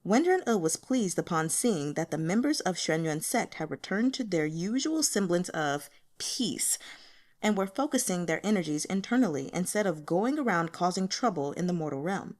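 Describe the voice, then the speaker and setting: A woman narrates fiction in a lively, highly varied voice. Her reading is informal and playful, like someone sharing a story they enjoy, with expressive shifts as she moves through the text. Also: moderate pace. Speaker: audiobook reader. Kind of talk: animated amateur fiction narration with character voices.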